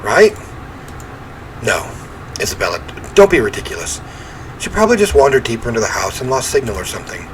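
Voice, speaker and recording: A man reading a first-person horror game story aloud in an expressive, theatrical way. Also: mid-range voice, a little fast, long pauses, poor audio. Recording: clear ambient noise behind voice.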